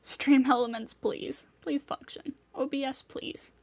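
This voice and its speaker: A woman streaming a game speaks in a high voice at a slow, easy pace. She greets viewers by name and talks to her broken software in mock pleading. Her talk is casual and unhurried. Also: steady tone, no echo. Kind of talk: casual live-stream chat.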